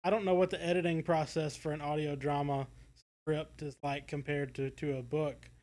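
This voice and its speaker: A man with a slightly high voice talks casually as a podcast co-host. He feels his way through his thoughts, restarting and leaning on "like" as he goes. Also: little pitch movement, normal speed, a little mellow. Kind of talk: casual review chat.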